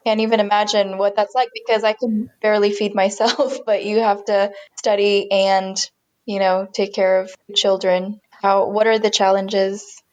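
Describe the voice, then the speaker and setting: A woman at mid pitch hosting a podcast interview. She asks her questions with friendly curiosity. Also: flat delivery, normal speed, darkish tone, clear, resonant tone, muffled recording. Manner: friendly and curious, laughs easily.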